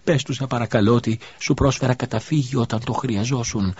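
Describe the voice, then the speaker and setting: A man's voice: mid-range voice, lively intonation, darkish tone, husky, dry recording, pitched above the performer's own voice. A man voicing a desperate widowed mother who pleads and wails in grief, in a dull lo-fi recording. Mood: pleading. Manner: pleading, then wailing curses. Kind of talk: audiobook character voice.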